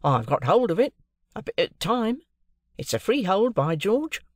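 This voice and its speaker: A Victorian clerk from a classic novel, played by a male narrator, brisk and fond. The voice sits high and is emphatic and matter-of-fact. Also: sing-song, no echo, clean studio sound. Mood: firm, confiding. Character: hard-faced but fond Victorian clerk, brisk, private.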